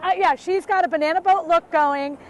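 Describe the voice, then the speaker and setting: A woman field reporter, chatty and excitable, doing a live morning news segment from a banana boat on a bay, talking into a phone. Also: extremely high pitch. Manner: chatty, excitable, shrieking on the fast ride. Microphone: phone held by the reporter. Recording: faint wind and water noise.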